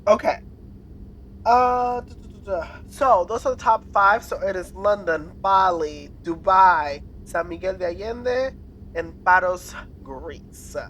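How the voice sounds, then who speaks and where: A woman's voice: medium pitch, slow, a bit bright, a little hiss or hum, low-quality recording. A woman co-hosting a podcast in casual chat, playfully quizzing and teasing her co-host and laughing often.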